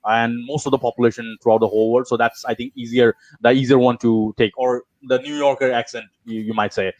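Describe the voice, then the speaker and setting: A man hosting an interview over a video call, relaxed and encouraging with slight hesitations. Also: medium pitch, brisk, dry recording, dull audio. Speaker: podcast host. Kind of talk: conversational interview.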